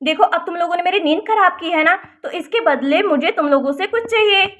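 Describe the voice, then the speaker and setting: A woman's voice: very high voice, a little fast, a bit bright. A woman voicing a scheming, bossy middle-aged aunt in a storybook tale. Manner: mischievous, bossy.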